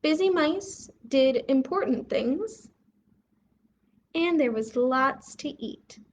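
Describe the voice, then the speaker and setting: A woman hosting a bedtime read-aloud for young children, expressive and putting questions to her listeners. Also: sits high, slow, highs cut off, slight background noise. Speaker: children's story reader and host.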